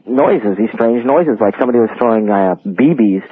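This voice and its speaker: Lowish guy calling a radio show, really tinny phone sound, telling a creepy story casually. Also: animated, normal speed, husky. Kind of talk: casual personal ghost story. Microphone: telephone. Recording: tinny narrow phone line.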